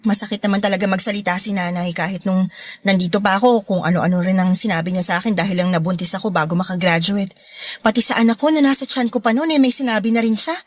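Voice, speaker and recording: A woman with a Filipino accent playing a protective, caring older sister and young mother in a radio drama, concerned and gently scolding. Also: medium pitch, fast talker, no room sound, clean studio sound, lightly acted. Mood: earnest advising. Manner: concerned, scolding on brother's behalf. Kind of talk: Tagalog radio drama acting.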